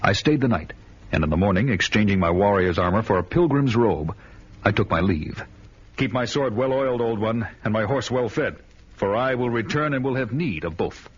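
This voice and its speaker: An actor playing a vengeful barbarian warrior, narrating his quest in a grim, measured way. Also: fairly low pitch, animated, husky, dry recording. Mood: wary. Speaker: narrator and hero. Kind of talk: first-person radio drama narration. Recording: dull lo-fi audio with music behind.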